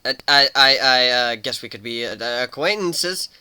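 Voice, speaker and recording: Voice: medium pitch, animated, normal speed, sharp tone, smooth. A performer voicing a nervous, earnest male pegasus pony who stammers and hesitates in a dramatic story reading. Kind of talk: dramatic fan fiction reading.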